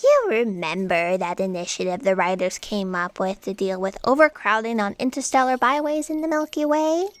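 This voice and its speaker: A woman's voice: medium pitch, moderate pace, few pauses, no echo, close to an everyday voice. A woman playing an earnest, flustered and deferential fact checker in a comedic audio drama. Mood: earnest, alarmed. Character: eager, flustered female fact checker, junior and anxious.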